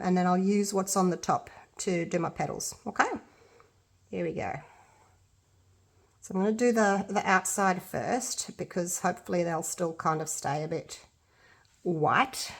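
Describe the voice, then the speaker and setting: A middle-aged woman with an Australian accent and a congested, blocked-up nose, chatting casually through a resin art tutorial and thinking aloud as she works. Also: medium pitch. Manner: chatty, self-deprecating, thinking aloud while working.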